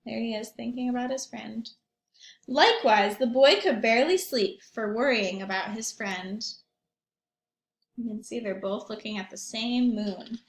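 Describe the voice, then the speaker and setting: A woman teacher reading aloud and talking to young children in an expressive storytelling manner, with questions and asides. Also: a little high, a little slow, echoey room. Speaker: primary school teacher reading aloud to pupils.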